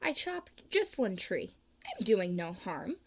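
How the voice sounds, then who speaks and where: A woman voicing a grumpy, secretive old hermit man with a lowered, hushed voice, read from a storybook. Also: very animated, takes it slowly, husky, heavily acted. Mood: eager, salesy.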